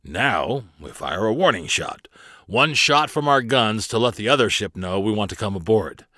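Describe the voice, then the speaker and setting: Man playing a brisk, commanding pirate mate. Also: fairly low pitch, lively intonation, bright, forceful tone, no room sound, studio quality. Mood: firm, instructing.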